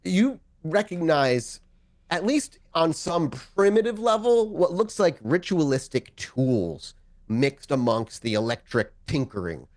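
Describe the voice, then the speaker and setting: A man's voice: on the high side, big pitch swings, measured pace, a little mellow. A man improvising narration as game master of a tabletop game, talking in a casual, conversational, sometimes profane way.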